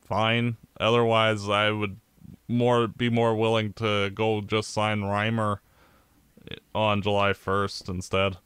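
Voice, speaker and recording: A Canadian man co-hosting a podcast, giving blunt opinions in casual sports chat, with halting restarts. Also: lowish voice, flat delivery, fairly bright tone, smooth, dry recording. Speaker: podcast co-host.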